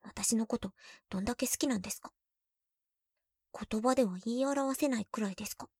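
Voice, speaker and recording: A young woman playing a teasing, shy girlfriend who is junior to the listener, in an ASMR roleplay, talking playfully and dropping into soft whispers. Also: a little high, a little fast, husky, no echo, studio quality.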